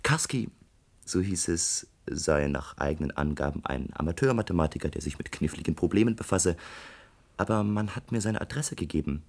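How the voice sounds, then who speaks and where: An actor playing a bitter, gifted young doctoral student in a German radio play, sarcastic and accusing, with deliberate delivery. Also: male voice, low voice, animated, gravelly, darkish tone, exaggerated voice. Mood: coldly triumphant.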